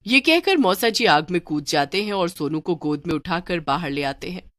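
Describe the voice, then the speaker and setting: A woman's voice: lowish voice, lively intonation, moderate pace, fairly bright tone, no room sound, clean studio sound. A woman narrating a scripted cartoon horror story as a storyteller.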